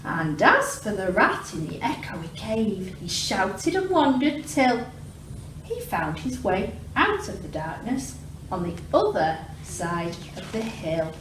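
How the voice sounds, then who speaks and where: Female children's story reader, animated intonation, measured rhythm, slightly distant mic with background noise. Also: medium pitch, reverberant. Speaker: primary school teacher reading aloud. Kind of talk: children's storybook reading in rhyme.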